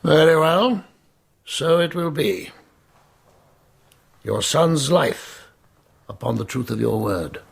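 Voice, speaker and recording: Gravelly, husky-voiced commanding emperor. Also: male voice, on the high side, lively intonation, heavily acted. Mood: shrewd, weighing. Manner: imperious, deliberate, wry. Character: Byzantine emperor Leo, commanding, shrewd, suspicious older man. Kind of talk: radio drama acting.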